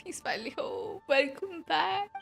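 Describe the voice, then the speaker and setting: A young woman with a high voice streams her game reactions. She talks slowly and informally, in an emotional, gushing way, with faint music behind her. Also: animated, gravelly. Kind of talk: casual livestream reaction chat.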